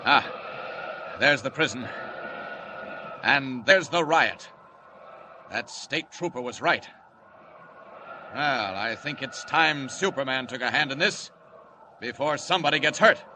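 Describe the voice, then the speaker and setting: A man's voice: medium pitch, animated, takes it slowly, pauses often, pushed and bright, heavily acted. A man playing a confident, commanding flying superhero in an old radio serial, speaking in a bold, declamatory way. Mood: confident, determined. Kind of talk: 1940s radio acting. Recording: noisy old radio recording.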